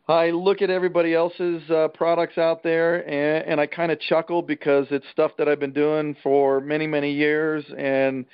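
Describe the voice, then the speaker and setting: A man giving an informal real estate trainer's talk over a conference call, plain-spoken and emphatic, with a salesman's folksy pitch. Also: flat delivery, moderate pace, few pauses, clean tone. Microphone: telephone conference call. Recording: dull, band-limited conference call line.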